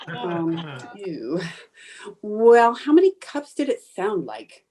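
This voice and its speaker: Woman acting stubborn cheerful housewife, scatterbrained, old-time radio comedy, animated pitch, soft-edged mellow tone, emphatic. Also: slow pace, slightly rough, full character voice. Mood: flustered.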